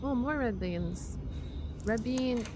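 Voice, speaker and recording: A woman doing casual, chatty reaction commentary, exclaiming and thinking out loud in an informal way. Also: on the high side, takes it slowly, noisy background. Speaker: reaction video streamer and host.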